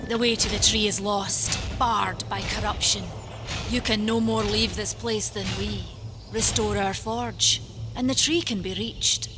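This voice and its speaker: Actress as sturdy maker of the forge; mid-pitched, earnest, proud, old-world phrasing, over a loud game score. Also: female voice, steady tone, measured pace, close to an everyday voice. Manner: frank, earnest, archaic turns of phrase. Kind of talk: dramatic fantasy game acting. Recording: loud music behind the voice.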